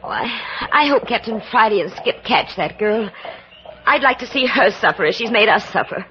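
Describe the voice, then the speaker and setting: An actress playing the spirited, excitable daughter of an expedition leader, with emotive, emphatic old-time radio delivery. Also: female voice, medium pitch, normal speed, natural voice. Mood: indignant. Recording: dull, noisy vintage radio recording.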